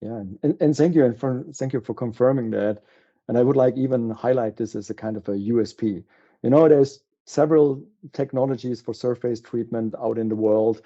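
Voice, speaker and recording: A guest on a podcast, a man with a German accent, speaks with a notably dark, mellow timbre. He pauses often and restarts his sentences as he searches for words. The recording sounds slightly dull and muffled. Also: mid-range voice. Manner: halting, with frequent fillers and restarts. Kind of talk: conversational interview.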